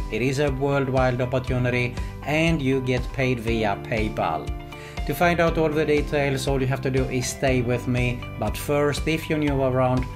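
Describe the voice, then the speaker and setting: A man hosting an online tutorial, narrating step by step in a salesy way with repeated stock phrases. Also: medium pitch. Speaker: video host. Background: background music.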